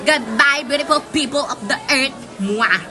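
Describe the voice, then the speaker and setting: Woman acting a high-pitched, flamboyant, sassy student. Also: measured pace, over music. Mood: showy. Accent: Filipino. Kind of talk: amateur school radio drama acting. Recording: crowd chatter behind the voice.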